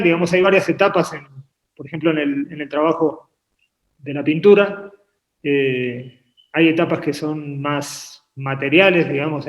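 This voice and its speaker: A man from Uruguay raised in Argentina, talking about his work as a painter on a video call, often pausing and repeating words as he thinks. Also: on the high side, lo-fi sound. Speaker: painter and artist guest. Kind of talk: relaxed interview conversation. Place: home painting studio. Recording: echoey room on a video call.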